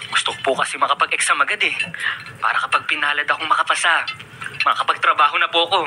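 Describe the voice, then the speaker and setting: High-voiced respectful Filipino son acted by a man. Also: steady tone, fast talker, background noise, lightly acted. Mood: earnest. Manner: respectful, earnest. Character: dutiful son, eager, respectful, wistful about absent father. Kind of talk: Filipino radio drama acting.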